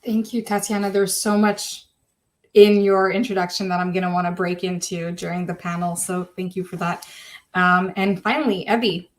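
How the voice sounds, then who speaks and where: A woman moderating an online panel, handing over to speakers in a brisk, encouraging way. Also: lowish voice, fairly even intonation.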